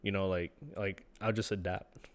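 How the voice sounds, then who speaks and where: A man in his twenties, a podcast guest, talking in a rapid, filler-heavy way on a studio mic. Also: deep voice, flat delivery. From Maryland, USA. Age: 20s. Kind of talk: casual interview chat.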